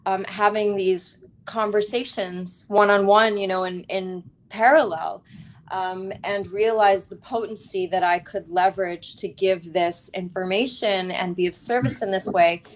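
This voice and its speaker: A woman with a medium-pitched voice speaks as a guest author. She tells her story conversationally, with articulate, expressive and reflective phrasing. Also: measured pace, low-quality recording. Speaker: author, wellness teacher, interview guest.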